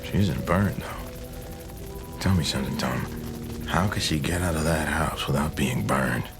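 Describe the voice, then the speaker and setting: An actor playing a grave, practical small-town husband in a radio drama, speaking tersely and matter-of-factly in a gravelly voice. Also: male voice, very deep voice, measured pace, music in the background. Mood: grave, controlled. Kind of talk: old-time radio drama acting.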